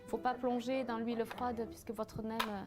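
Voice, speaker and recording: A woman of Vietnamese origin walks through a recipe in a casual demonstration, her voice sitting somewhat high. She hesitates often with fillers as she explains each step. Music plays clearly behind her. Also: little pitch movement, moderate pace. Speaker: cooking association cofounder demonstrating a recipe.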